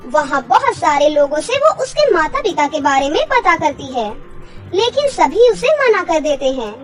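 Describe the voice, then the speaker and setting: A woman's voice: sits high, sing-song, normal speed, soft background music. A woman narrator reading a scripted children's fairy tale.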